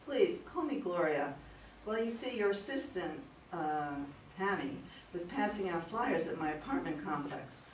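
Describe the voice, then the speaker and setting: Worried, apologetic woman client acted by a woman, stage reading, lower pitch, far from mic. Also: lively intonation, measured pace. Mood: polite, chatty. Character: worried female client seeking help, apologetic. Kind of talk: amateur staged play reading. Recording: echoey room, distant mic, faint noise.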